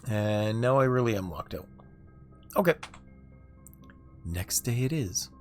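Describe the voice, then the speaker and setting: A man streaming a video game, talking through his moves in a low-key, muttering way. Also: lively intonation, takes it slowly, darkish tone, soft background music. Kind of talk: casual gameplay commentary.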